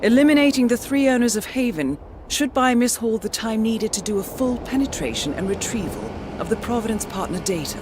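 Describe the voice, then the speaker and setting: An actress playing a formal, precise female handler who delivers measured mission briefings in a video game. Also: fairly high pitch, animated, very noisy, lightly acted. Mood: composed, formal. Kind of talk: scripted mission briefing narration.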